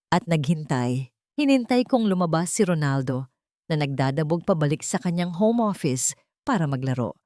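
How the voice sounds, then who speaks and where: A Filipino woman narrates a dramatic audiobook in the first person. Her voice sits on the low side, and the sound is close and dry. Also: dark tone, no room sound.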